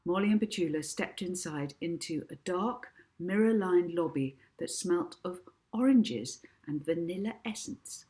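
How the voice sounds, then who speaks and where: A woman reads a children's novel aloud. Her delivery is very slow and measured, in a fairly low register. She is expressive and places clear emphasis as she tells the story. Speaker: author reading own book. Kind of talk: expressive children's audiobook narration.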